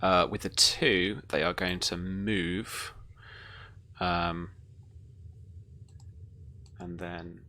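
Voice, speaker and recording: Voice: low voice, little pitch movement, extremely slow, pauses often, pushed and bright. A game master narrating a tabletop roleplaying game in a hesitant, improvised way, with some noise in the recording.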